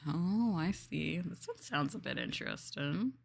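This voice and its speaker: A woman's voice: on the low side, sing-song, measured pace, gravelly. Casual woman streamer thinking aloud. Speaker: VTuber streamer. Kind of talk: casual livestream chat.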